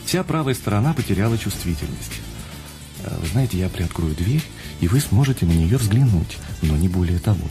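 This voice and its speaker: An actor in a radio drama playing a frank hospital doctor who explains grave news. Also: male voice, deep voice, big pitch swings, a little fast, over music. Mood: cautious, sympathetic.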